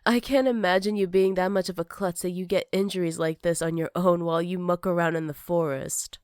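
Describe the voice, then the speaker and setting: A woman's voice: lowish voice, a little mellow, no room sound, clean studio sound, natural voice. A woman playing a jealous, possessive villain with magic, teasing and menacing in a sultry ASMR roleplay. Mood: mocking, probing.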